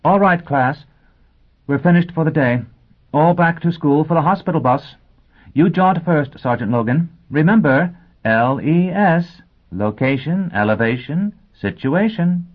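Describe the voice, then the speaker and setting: A man voicing a gentle, anxious, well-bred young woman who teaches, speaking in careful, polite diction. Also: mid-range voice, brisk, pitched above the performer's own voice, close to an everyday voice. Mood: brightly commanding. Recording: thin, phone-like band-limited sound.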